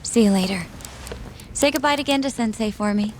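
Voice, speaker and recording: A woman playing a composed, guarded young prosecutor in a video game cutscene, measured and polite with a dry edge. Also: on the high side, slight background noise, natural voice. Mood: guarded concern.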